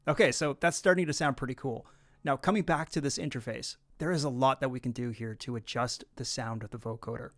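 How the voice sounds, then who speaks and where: A man narrating an instructional software tutorial, explaining things casually and step by step. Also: medium pitch, brisk, soft background music.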